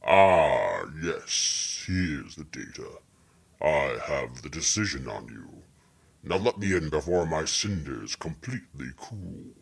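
A man voicing a disguised man playing an ominous cinder spirit, with a deep gravelly drawl and slow, mock-menacing delivery. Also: very animated, full character voice.

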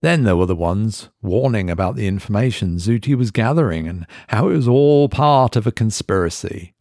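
A male narrator reads a scripted horror story with a low voice and a gravelly grain. His intonation moves a lot. The sound is dry and close, with no room around it. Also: expressive, normal speed, a little mellow, dry recording. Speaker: narrator, reader of horror stories.

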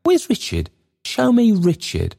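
A man's voice: fairly low pitch, moderate pace, a little mellow, pitched above the performer's own voice, lightly acted. A man voicing a poor, confused, distressed man who moans and pleads as he searches. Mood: anguished. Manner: moaning, pleading.

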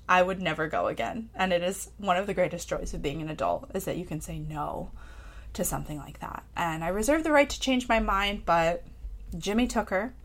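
A woman reading her own personal essay aloud for a podcast, reflective and candid, with a lightly self-amused, conversational touch. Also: medium pitch. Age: adult parent of a young child. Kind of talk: personal essay read aloud with casual asides.

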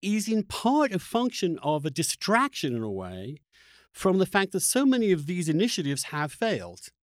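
Animated man interviewing, halting delivery. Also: high voice, no room sound, studio quality. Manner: halting, searching delivery with repeated words. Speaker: podcast host. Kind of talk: conversational interview, long reflective questions.

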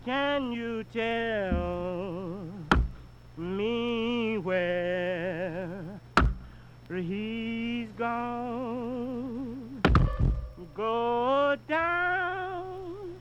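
Woman singer, very slow spiritual, higher voice, dull lo-fi recording, clear musical accompaniment. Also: music in the background.

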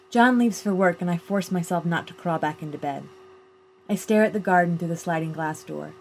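A woman reading a first-person literary short story aloud in a measured, plain storytelling manner. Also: on the low side, darkish tone, rough recording. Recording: clear background noise.

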